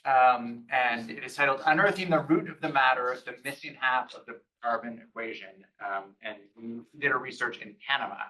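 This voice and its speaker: A man hosts an event and introduces the next speaker, with a very bright, crisp tone. He makes dry, self-deprecating jokes between the introductions. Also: medium pitch, moderate pace, hardly any pauses, low-quality recording. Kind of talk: introducing presenters.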